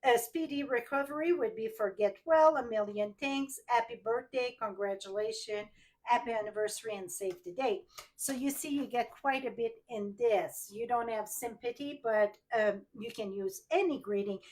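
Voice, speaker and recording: A woman's voice: on the high side, normal speed, darkish tone, a little hiss or hum, rough recording. A woman with a French Canadian accent, whose first language is French, chatting her way through a live card-making tutorial and asking viewers questions as she works. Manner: chatty, thinks aloud, asks viewers directly.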